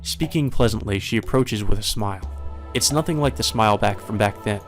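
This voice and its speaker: A man's voice: fairly low pitch, a little fast. A man reading a visual novel aloud as dramatic first-person narration. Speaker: let's play reader. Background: music.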